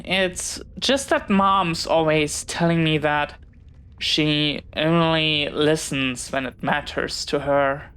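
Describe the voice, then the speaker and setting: Slow-paced, lowish-voiced young pirate girl played by a woman. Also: clear, resonant tone. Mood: apologetic, sympathetic. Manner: hesitant, polite, earnest, chatty. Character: friendly, bookish, talkative young female pirate, shy and apologetic. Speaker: voice actor in an audio roleplay. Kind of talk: intimate scripted audio roleplay.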